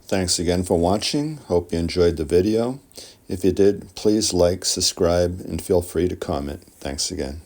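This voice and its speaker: A man in his sixties with a low-pitched voice. He narrates in a casual, homemade hobby-video style. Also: unhurried, darkish tone. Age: 60s.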